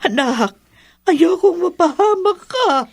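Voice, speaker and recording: A woman's voice: very high-pitched, lively intonation, takes it slowly, heavily acted. A woman playing an anxious, protective mother, worried and pleading in a horror radio drama. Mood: fearful, pleading.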